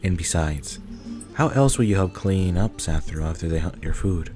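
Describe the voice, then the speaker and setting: A man's voice: deep voice, lively intonation, moderate pace, over music. A man reading scripted second-person story narration for an audio roleplay.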